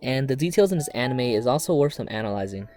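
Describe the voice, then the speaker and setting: A man's voice: medium pitch, lively intonation, fast talker. A man narrating an anime review in a casual, scripted video-essay style, with joking, mock-exasperated asides. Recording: background music under voice. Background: music.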